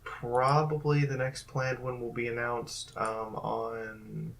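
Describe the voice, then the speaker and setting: Woman host, casual sign-off, gravelly, hesitant, rambling, faint background noise. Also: extremely low pitch, little pitch movement, takes it slowly, low-quality recording. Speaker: host and director. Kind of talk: casual closing remarks.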